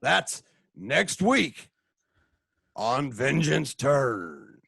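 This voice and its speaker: A man's voice: mid-range voice, sing-song, drawn-out words, gravelly, bright, forceful tone, breathy edge, dry recording, studio quality. Guy, radio play announcer and director, takes his time, mid voice, no room sound. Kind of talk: radio serial narration and direction.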